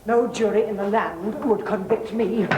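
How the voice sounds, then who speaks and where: A woman's voice: medium pitch, normal speed, dull audio, background noise, lightly acted. An actress playing Penelope, a haughty and snobbish mother who trades scornful insults in a comedy scene. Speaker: Penelope, feuding parent. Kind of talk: scripted TV comedy acting.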